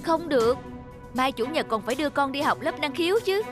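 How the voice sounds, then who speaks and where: An actress playing a fussy, self-concerned young city wife in a cartoon, with an insistent, complaining manner. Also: female voice, high voice, fairly quick, close to an everyday voice. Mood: annoyed, insistent. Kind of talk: cartoon voice acting. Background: music.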